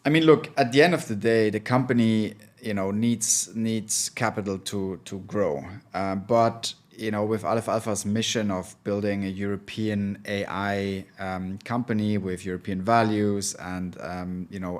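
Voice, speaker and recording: Low-voiced man, hesitant. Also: steady tone, normal speed, hardly any pauses. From Switzerland. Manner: thoughtful, hesitant, many filler sounds. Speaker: venture capital partner, guest. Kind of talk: casual interview talk.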